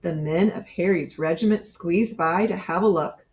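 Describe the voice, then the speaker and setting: A woman reading a children's picture book aloud in an expressive storytelling style, acting out the dialogue as she goes. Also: lowish voice, normal speed. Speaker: storybook reader. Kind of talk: scripted children's storybook narration read aloud.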